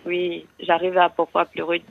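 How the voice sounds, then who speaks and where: Mauritian woman, 60s, radio caller; gravelly, hesitant and halting, heard over a phone line. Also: lowish voice, completely flat delivery. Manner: hesitant, halting, many fillers and restarts. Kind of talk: personal confession on a call-in show.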